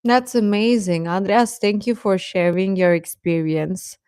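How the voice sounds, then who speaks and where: A woman's voice: medium pitch, measured pace, a little mellow, clear, resonant tone, dry recording, studio quality. A woman chatting casually on a livestream, giving earnest, encouraging advice and repeating phrases for emphasis in a reassuring way. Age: adult. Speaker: live streamer giving advice to teenage viewers.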